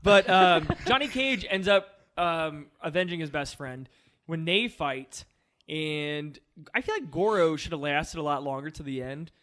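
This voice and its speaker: A man with a bright, somewhat high voice co-hosts a film podcast. He talks through movies casually, as if among friends. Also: expressive, a little slow. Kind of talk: casual discussion of films.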